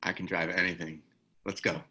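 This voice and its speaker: A man playing a wary, gruff ex-government reluctant hero in a screenplay table read. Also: deep voice, little pitch movement, normal speed, pushed and bright. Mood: commanding.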